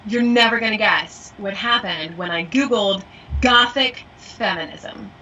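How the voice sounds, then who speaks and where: A woman's voice: medium pitch, a little slow, far from the mic, lo-fi sound. A woman co-hosting a podcast, a voiceover actor and acting coach who chats and laughs often. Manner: laughs often, bubbly and chatty. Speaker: podcast co-host. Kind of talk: casual chat.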